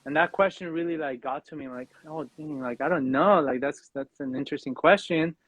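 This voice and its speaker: A man talking as a podcast guest in a reflective, earnest, halting way, often checking in with "you know" and "right?". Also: a little high, lo-fi sound, crowd noise. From Mexican background. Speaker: podcast guest, fatherhood coach. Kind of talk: reflective personal conversation.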